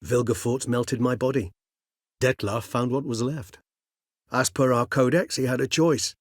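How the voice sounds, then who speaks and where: An actor playing an erudite, courteous, weary male higher vampire in a fantasy game, speaking formal, wordy, old-fashioned English in a husky voice. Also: steady tone, measured pace, no echo, clean studio sound, close to an everyday voice. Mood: calm, earnest. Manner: formal, wordy, archaic gentlemanly diction. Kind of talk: fantasy game character dialogue.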